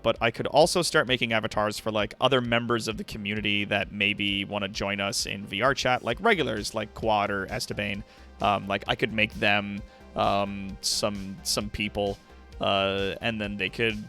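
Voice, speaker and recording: A man's voice: medium pitch, fairly bright tone. A man streaming casually to his viewers, rambling and swearing freely as he talks as an online entertainer. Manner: profane, rambling, effusively thankful to viewers. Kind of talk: casual livestream chat with viewers.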